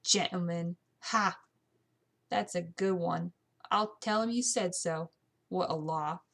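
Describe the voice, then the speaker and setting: A woman's voice: mid-range voice, normal speed, natural voice. A woman puts on a saucy young English maid from a period storybook. She talks back with scornful cheek in a put-on British accent, disrespectful to her betters. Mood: cheeky, scornful. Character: pert, insolent young English housemaid with a sharp tongue.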